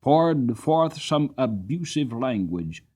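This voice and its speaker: A male narrator reads a storybook aloud with plenty of drama. His pitch moves freely up and down, giving the telling an expressive, theatrical shape. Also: takes it slowly, husky. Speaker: audiobook narrator.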